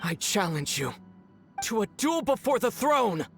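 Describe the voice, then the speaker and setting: An actress voicing a bold, defiant challenger in a video game, declamatory and resolute. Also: female voice, mid-range voice, sing-song, a little slow, gravelly. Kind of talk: dramatic video game voice acting. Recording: loud music behind the voice.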